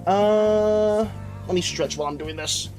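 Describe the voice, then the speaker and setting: High-pitched guy streaming, kinda hoarse, rambles and stutters thanking chat. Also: unhurried, darkish tone, soft background music, low-quality recording. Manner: rambling, stammering, repeats words; reads chat messages aloud and thanks viewers. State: slightly hoarse, dry voice. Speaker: livestreamer. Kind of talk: casual livestream chat.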